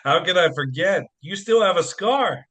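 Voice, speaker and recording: A man's voice: high-pitched, lively intonation, moderate pace, fairly bright tone. A man playing a wry, stressed soccer-coach father who jokes at his own expense in an audio play. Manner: joking, self-deprecating.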